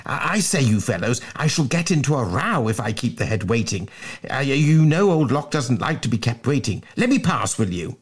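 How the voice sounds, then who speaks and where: A man's voice: medium pitch, big pitch swings, brisk, breathy edge. A man voicing a fat, flustered schoolboy from a storybook, greedy and indignant, who stammers and blusters out his excuses. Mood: stammering excuses. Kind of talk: storybook character voice in a dramatic reading.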